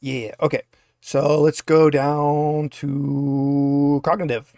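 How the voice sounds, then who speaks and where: A man with a slightly high voice speaks as the game master of an online tabletop game. His delivery is informal and joking, in the manner of casual hosting. The sound is dry and close. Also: level tone, slow pace, a little mellow, no echo.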